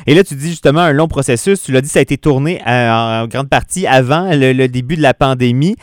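A man hosting a radio interview, asking questions in a relaxed, chatty way. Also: medium pitch, brisk, dry recording, clean studio sound. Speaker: radio host.

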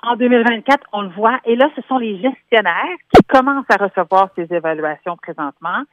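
A woman's voice: mid-range voice, fairly quick, very noisy. A woman with a Québécois French accent, a workplace columnist explaining with concrete examples as she calls in to a radio show. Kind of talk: radio phone-in commentary. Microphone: telephone. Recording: tinny phone line, dry.

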